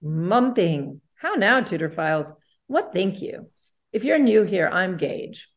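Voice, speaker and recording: A woman's voice: lowish voice, very animated, slow pace. A woman co-hosting a podcast in an upbeat style of presenting and chat. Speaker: podcast co-host.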